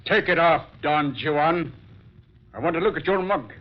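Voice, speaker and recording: Wisecracking American policeman, male actor, gruff and slangy, fairly high male voice, 1940s radio acting on a dull old recording. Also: measured pace, natural voice. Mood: sarcastic, suspicious. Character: brash, wisecracking American city policeman, suspicious and bossy.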